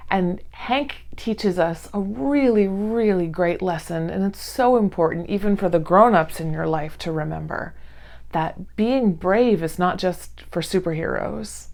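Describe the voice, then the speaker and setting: A woman's voice: lowish voice, a little slow, gravelly, a little mellow. A woman presenting for young children, explaining things in simple words as a read-along host.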